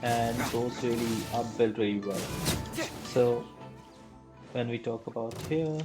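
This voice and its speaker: A woman's voice: a bass voice, steady tone, a little slow, music in the background. A woman streaming a game live, chatting and thinking aloud with fillers as she plays. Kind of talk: live gameplay commentary.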